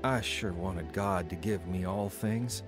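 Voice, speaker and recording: An actor in an audio drama playing an earnest, emotional, wavering ex-convict who has newly found religion. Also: male voice, sits low, takes it slowly, music in the background. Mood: searching, reflective. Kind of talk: audio drama acting and first-person narration.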